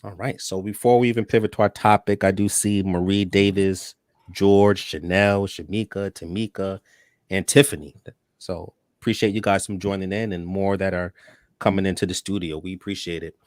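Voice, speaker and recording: A man hosting a live online talk show, speaking in a relaxed, conversational way with casual slang. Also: low-pitched, moderate pace, no room sound, studio quality. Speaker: host.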